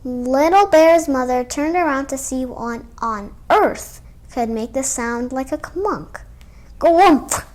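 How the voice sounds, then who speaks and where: A woman's voice: sits high, slow pace. A young girl hosting a children's storytime, reading a picture book aloud. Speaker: storytime host.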